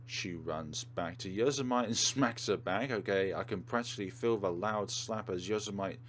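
A man's voice: on the low side, normal speed, slight background noise. A man hosting a let's play, reading game narration aloud and adding casual commentary.

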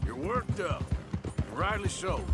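A gruff American Western gunman for a game, weary and loyal, his drawl pitched fairly high and moving freely. He talks in a dry, laconic way over loud music. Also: expressive, slow. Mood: reassuring. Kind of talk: video game dramatic acting.

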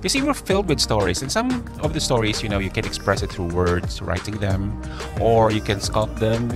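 A man's voice: fairly low pitch, sing-song, normal speed. A man hosting a morning radio show in a chatty, rambling way. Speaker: radio host. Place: small radio studio. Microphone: close studio microphone. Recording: music behind the voice.

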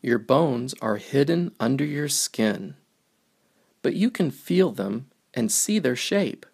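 Gravelly man reading a children's book aloud. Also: mid-range voice, lively intonation, takes it slowly, darkish tone. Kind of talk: picture-book read-aloud for young children.

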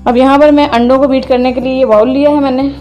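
A woman hosting a home cooking video, calmly explaining each step as she cooks in her kitchen. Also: high-pitched, fast talker, faint music behind. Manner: calm, methodical, names each step as she works. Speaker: cooking channel host.

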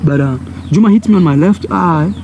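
A man's voice: on the high side, fairly quick, narrow, tinny audio. A young man from Kenya with a Kenyan accent, a native Swahili speaker, telling a personal story to camera outdoors and acting out the voices. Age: young adult. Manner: animated, acts out voices and gestures. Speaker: vlogger. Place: outdoors by a brick wall. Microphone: phone or camera held close. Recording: outdoor noise, village sounds.

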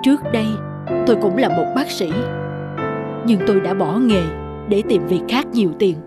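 A woman's voice: medium pitch, expressive, normal speed, natural voice. A woman voicing a weary, wistful former doctor full of regret in a cartoon monologue. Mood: regretful. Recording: loud piano music under the voice.

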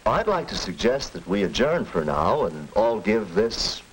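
Reasonable american dad, lowish lively voice, formal, hissy 40s film. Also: male voice, low-quality recording. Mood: agreeable. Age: middle-aged. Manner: deliberate, formal phrasing. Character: agreeable, reasonable middle-aged father. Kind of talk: 1940s educational film acting. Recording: hissy 1940s film soundtrack.

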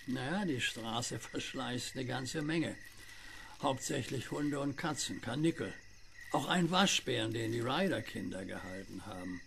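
An actor playing a friendly, knowing old country neighbour in a radio play, gravelly and colloquial. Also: male voice, medium pitch, measured pace, fairly bright tone, slight background noise. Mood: folksy, relaxed. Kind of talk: German radio play acting.

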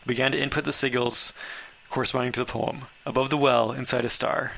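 A man's voice: medium pitch, fairly even intonation, fast, gravelly, a bit bright, poor audio. A man reading his own story as an amateur narrator, stumbling over words now and then.

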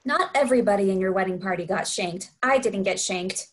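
A woman's voice: medium pitch, fairly quick, natural voice. A woman reading a sassy, scheming younger sister in a table read.

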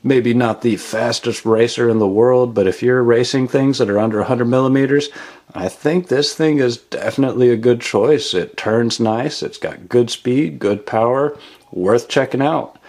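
A man's voice: mid-range voice, normal speed, a little mellow. A man hosting a casual product review voice-over in a matter-of-fact way. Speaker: product reviewer and channel host.

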